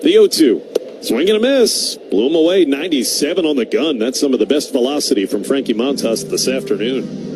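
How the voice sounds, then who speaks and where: Male American baseball play-by-play voice, medium pitch, live commentary and ad reads, noisy ballpark broadcast. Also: lively intonation, fairly quick. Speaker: baseball radio play-by-play announcer.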